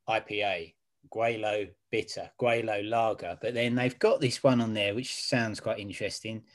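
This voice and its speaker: Laid-back man co-hosting a beer chat. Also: mid-range voice. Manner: laid-back, wry, swears casually. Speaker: beer podcast co-host. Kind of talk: casual chat reviewing beer.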